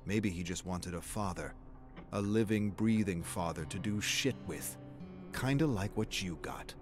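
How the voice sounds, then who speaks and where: A man's voice: deep voice, measured pace, music in the background. A man voicing a mocking inner voice that berates a lone survivalist, sardonic and scolding, in a dramatic story. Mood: berating.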